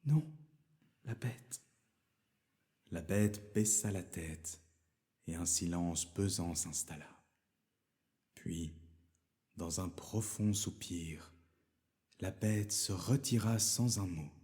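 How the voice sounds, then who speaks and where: Male storyteller for fairy tale narration: low, mellow, expressive delivery. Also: takes it slowly, long pauses.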